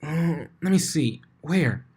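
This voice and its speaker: Rainbow Dash, a man doing a raspy brash mare. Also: fairly high pitch, expressive, slow, a little mellow, pitched above the performer's own voice, exaggerated voice. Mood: bickering. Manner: raspy, argumentative.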